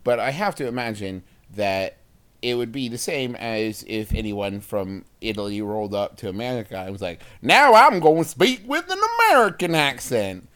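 Wry, animated man bantering. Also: medium pitch, moderate pace. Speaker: comedy podcast co-host. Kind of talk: casual comedic banter.

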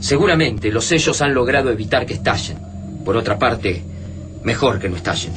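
A man's voice: medium pitch, lively intonation, moderate pace, close to an everyday voice. An Argentine actor in a radio drama playing a resolute resistance comrade, earnest and insistent as he persuades. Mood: earnest, persuasive. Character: Fava, resolute persuasive male comrade in alien-war resistance. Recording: clearly noisy radio drama ambience.

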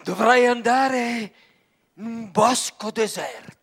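A commanding master of dark arts in a classical radio drama. His high, forceful voice is deliberate and declamatory, with gravelly edges and sudden shouted stresses. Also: exaggerated voice. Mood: commanding, ominous. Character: commanding male sorcerer tutoring a pupil in dark arts.

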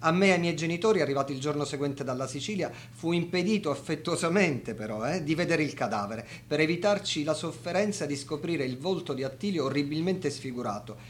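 An actor playing a grieving, doubting brother giving measured, questioning testimony in a radio drama. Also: male voice, fairly high pitch, lively intonation, natural voice. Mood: doubting, bitter. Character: grieving, doubting brother of a dead young doctor.

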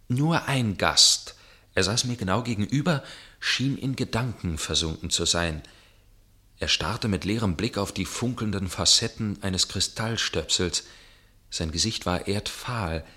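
A low-pitched gentleman storyteller from a radio play. He is inquisitive and suspicious. His delivery is formal and measured, with a probing edge. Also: heavily acted. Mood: grave, reflective. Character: inquisitive gentleman narrator, suspicious dinner guest with probing temper. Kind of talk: German radio play narration and dialogue.

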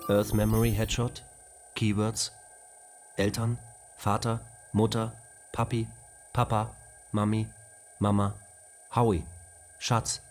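An actor playing a computer intelligence that reads out data and keyword lists in an even, matter-of-fact way. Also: male voice, lowish voice, slow pace, long pauses, faint music behind. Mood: reporting. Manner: flat, listing, data-reading.

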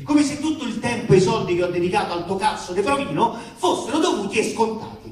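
Echoey, higher-voiced man reading with aggrieved irony. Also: a little mellow. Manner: emphatic, aggrieved, ironic, colloquial with swearing. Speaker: actor reading aloud. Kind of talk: comic theatrical reading of a letter to a live audience. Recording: echoey room, distant mic, faint noise.

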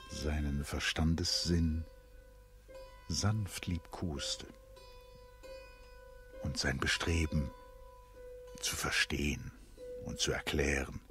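Very deep-voiced male narrator, literary radio drama. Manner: measured, contemplative. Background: plucked string music.

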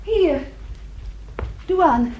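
A woman's voice: very high voice, lively intonation, drawn-out words, muffled recording, background noise, full character voice. An actress playing a guarded, anguished woman in a radio play, speaking hesitantly and with restraint. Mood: anxious, tender. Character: subdued, guarded, anguished woman, quietly protective.

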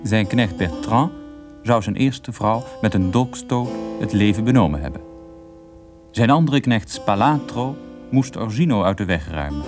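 A man narrating a scripted radio drama as storyteller. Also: on the low side. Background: plucked guitar music.

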